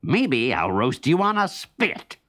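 A man's voice: medium pitch, very animated, takes it slowly, a bit bright, no echo, pitched above the performer's own voice, heavily acted. A man voicing a crafty male fox with a chortling, gloating storybook manner. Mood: indignant.

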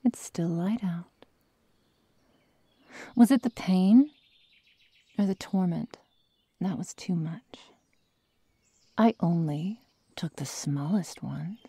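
A woman playing a sadistic young werewolf girl in an ASMR roleplay, coldly teasing and menacing in soft, breathy, often whispered speech close to the microphone. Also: lowish voice, no room sound, heavily acted. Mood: mocking, reproachful. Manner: slow, soft, mock-tender menace. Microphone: close microphone, dry sound.

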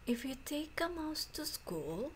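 A woman teacher reading a storybook aloud to students in a deliberate, phrase-by-phrase way. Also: sits high, big pitch swings, slight background noise. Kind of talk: slow storybook read-aloud for a class.

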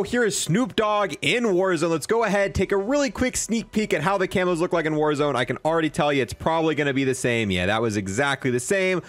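A young man giving casual, slangy, excitable commentary as he reviews game content. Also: a little high, no echo. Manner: excitable, slangy bro-and-dude talk. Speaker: gaming video creator.

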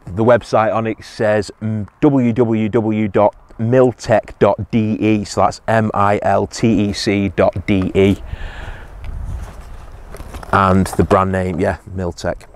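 A man's voice: fairly low pitch, a little hiss or hum. A man, casually reviewing survival gear outdoors on a hillside, hesitant with many ums and restarts.

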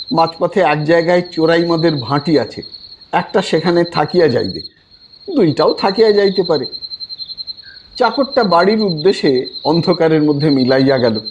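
A man narrating a literary story in an expressive, theatrical manner with a husky voice. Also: sits high, background noise. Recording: music bed behind the voice. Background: background music.

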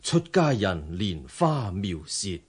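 An actor playing a serene, dignified Buddhist monk in a radio drama, measured and composed, with a Hong Kong Cantonese accent. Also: male voice, deep voice, sing-song, slightly rough, heavily acted. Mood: calm, assured.

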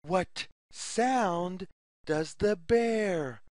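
A narrator reading a repetitive children's story aloud in a deliberate, word-by-word, sing-song way. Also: mid-range voice, darkish tone, no echo, clean studio sound.

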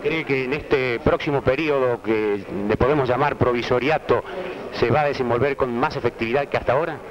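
A man's voice: medium pitch, brisk. A young man with an Argentine accent doing formal, insistent vox pop interviews as a street reporter on old news film. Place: outdoors on a busy city street. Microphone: handheld microphone. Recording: dull noisy 1970 archive newsreel audio.

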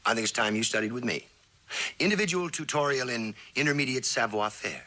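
An actor playing a cocky, wisecracking novelist and charmer in a jaunty, teasing, theatrical style of old television drama. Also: male voice, medium pitch, a little fast, pushed and bright. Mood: wry, flirtatious. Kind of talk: 1960s television drama acting. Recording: old TV soundtrack, faint hiss.

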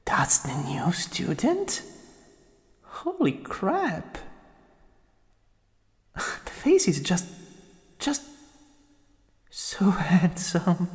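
A man acts out a rude young bully in an ASMR roleplay, all swagger over a hidden soft spot. The voice is gravelly and husky, and it swings widely in pitch between taunting and flustered. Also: full character voice. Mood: smitten, flustered. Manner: cocky taunts breaking into stammering, breathy asides.

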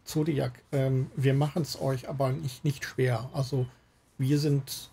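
A man's voice: on the high side, gravelly, mellow. A man hosting a gaming livestream, chatting casually with guests and viewers. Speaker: livestream host. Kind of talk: casual gaming stream chat. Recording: faint background noise.

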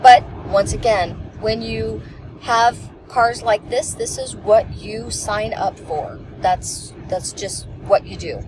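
A woman's voice: medium pitch, takes it slowly. A woman in her forties, a passenger telling a rambling road-trip story in casual chat, recorded from the dashboard of a moving car. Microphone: camera on the dashboard. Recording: clear road noise in a car.

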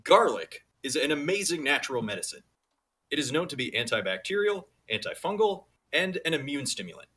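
A man reads aloud on a podcast in a forceful, rough-edged voice. His delivery is mock-serious, with casual asides. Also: a little high, very animated, a little fast. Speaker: podcast reader.

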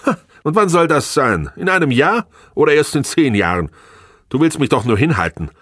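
A man's voice: mid-range voice, animated, normal speed, slightly rough, no echo, studio quality, pitched above the performer's own voice. A man voicing an angry, demanding estranged wife with biting, indignant emphasis in an audiobook. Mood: scornful. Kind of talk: audiobook character dialogue.

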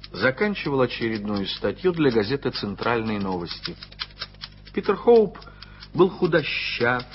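A man narrating a radio play in an expressive storytelling manner. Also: mid-range voice, slight background noise. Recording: dull lo-fi old radio recording.

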